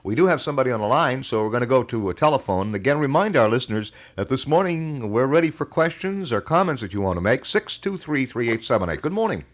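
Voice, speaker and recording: A middle-aged American man hosting a live call-in radio show, talking in a folksy, wordy way with halting phrasing. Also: medium pitch, very animated. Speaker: radio talk show host. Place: radio studio. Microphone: studio broadcast microphone.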